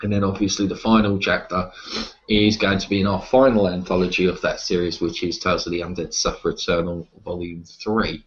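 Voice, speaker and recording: Low-voiced man in a muffled interview. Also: level tone, brisk. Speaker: interview guest, horror writer and publisher. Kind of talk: casual interview talk about writing.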